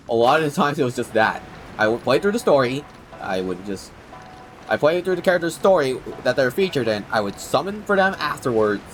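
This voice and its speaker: A man chatting casually to viewers on a game livestream, rambling and halting as he doubles back on his sentences. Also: on the high side. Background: game music.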